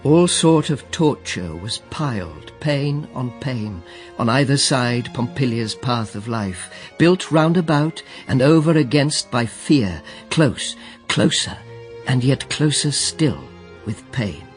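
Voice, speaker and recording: An actor playing a brooding narrator who recites verse deliberately and gravely in a radio drama. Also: male voice, mid-range voice, expressive, faint music behind. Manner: slow, deliberate poetic recitation.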